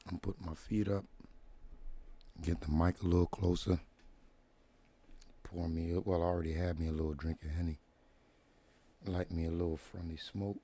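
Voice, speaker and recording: A man hosting his own show, telling a long story in a relaxed, slangy monologue with frequent "dig me" asides and a put-on radio voice. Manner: deliberately deep "radio voice". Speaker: show host. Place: at home, relaxing with feet up.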